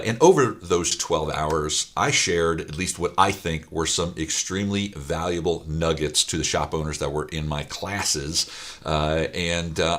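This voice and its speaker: A middle-aged man, hoarse and raspy from a weekend of speaking, talking straight to camera as a business coach with emphatic, filler-heavy delivery. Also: a bass voice, a bit bright. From Ohio, USA. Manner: emphatic, repeats points, many fillers. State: hoarse after long speaking. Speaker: auto repair shop business coach. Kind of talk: direct-to-camera talk.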